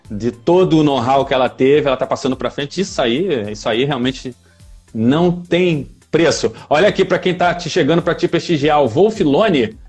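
A man hosting a podcast, introducing his guest with enthusiastic, effusive praise. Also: medium pitch. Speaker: podcast host.

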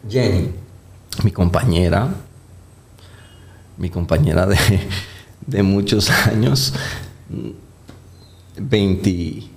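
A man's voice: deep voice, extremely slow, vocal fry, long pauses. A Colombian doctor with a slight accent, talking through his career as an interview guest with many fillers and restarts. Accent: Colombian, softened by years in the Dominican Republic. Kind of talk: casual interview talk.

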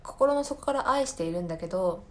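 Woman podcaster just chatting solo, mid pitched voice, kinda thoughtful, explains stuff and feels around for the right words. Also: expressive, a little fast. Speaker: podcast host. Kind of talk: casual solo recommendation talk.

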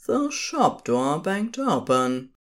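A woman with a very low voice narrates a story from a script. Her delivery is slow and measured, with lively movement in the pitch.

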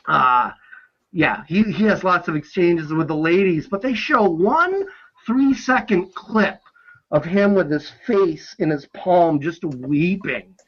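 A man's voice: sits high, muffled recording. A man talking casually on a film panel, rambling with a fan's passionate enthusiasm. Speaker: podcast panelist on films.

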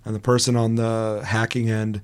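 A young man with a hoarse, raspy voice hosts a casual podcast, talking in a chatty and self-deprecating way. His voice sits a little low and stays fairly flat in pitch. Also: moderate pace. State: hoarse, recovering lost voice. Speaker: podcast host. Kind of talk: casual gaming podcast chat.

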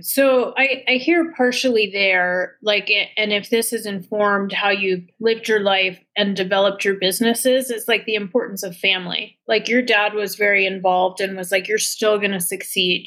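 A woman's voice: mid-range voice, fairly even intonation, moderate pace, few pauses, a bit bright. A woman hosting an interview in a chatty, casual way, leaning heavily on "like" as she asks her questions. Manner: chatty, lots of "like" fillers. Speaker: interview host.

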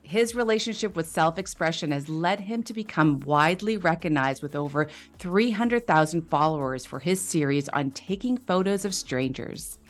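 Woman, podcast host, low voice, upbeat delivery, faint music underneath. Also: lively intonation, normal speed, hardly any pauses. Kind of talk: scripted podcast intro and interview.

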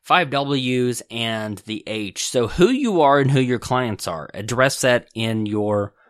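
A man hosting a business podcast, explaining website setup in a casual, conversational way with folksy asides and quick self-corrections. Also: mid-range voice, lively intonation, dry recording, clean studio sound. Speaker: podcast host and business author. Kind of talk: casual instructional podcast talk.

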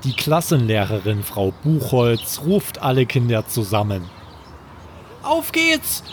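A man narrating a scripted children's bedtime story in a measured, deliberate manner. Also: mid-range voice, expressive, darkish tone, a little hiss or hum.

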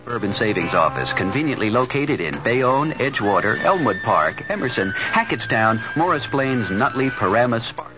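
Casting: male announcer for a local radio spot, lively mid-range read, dull vintage sound over music. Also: medium pitch, moderate pace. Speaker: commercial announcer. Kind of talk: scripted 1970s local radio ad. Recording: dull 1970s radio recording, music behind.

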